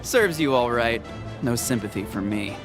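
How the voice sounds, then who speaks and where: Stern older village chief, played by a man, blunt, highish swinging voice, game dialogue over loud music. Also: animated, normal speed, natural voice. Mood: scornful. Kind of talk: video game voice acting.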